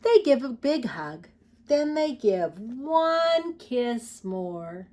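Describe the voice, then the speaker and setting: A woman hosting a children's storytime, reading picture books aloud in an expressive, sing-song way and talking directly to young listeners. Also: a little high, very slow.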